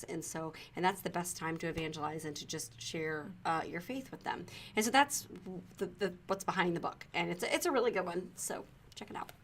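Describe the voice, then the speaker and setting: A woman's voice: lowish voice, slight background noise. A woman co-hosting a show, talking casually and conversationally as she recommends books. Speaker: co-host of a book recommendation show. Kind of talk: casual conversational book chat.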